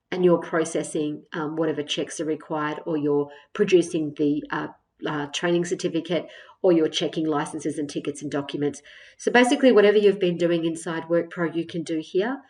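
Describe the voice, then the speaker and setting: A woman presenting a software tutorial as a step-by-step walkthrough, explaining in a measured way with frequent hesitations. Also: fairly low pitch. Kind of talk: screen-share product walkthrough, semi-scripted narration.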